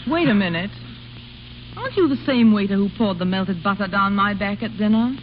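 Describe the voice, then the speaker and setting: An actress playing an imperious, world-weary grand duchess with a haughty, dry manner in old radio drama. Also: female voice, mid-range voice, expressive, brisk, natural voice. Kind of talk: 1930s radio drama acting. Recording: old radio broadcast, muffled with faint hiss.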